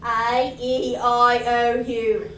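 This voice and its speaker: A woman playing a brash, stubborn young Cockney flower girl in a stage musical, talking and half singing loudly. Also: extremely high pitch, big pitch swings, slow, exaggerated voice. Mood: defiant. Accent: Cockney, put on for the role. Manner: stubborn, loud, half sung. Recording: echoey stage, mic far off, faint noise.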